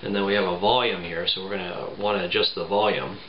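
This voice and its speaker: Roomy home recording, man, low voice, halting casual tutorial delivery. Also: steady tone. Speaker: tutorial presenter. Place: small home room, indoors. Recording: roomy, muffled, faint background noise.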